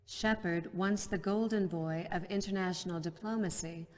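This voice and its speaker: An actress playing a serious television news anchor in a video game cutscene, reading in a measured, formal way. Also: female voice, on the low side, fairly even intonation, in a large hall, lightly acted. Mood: sober, formal.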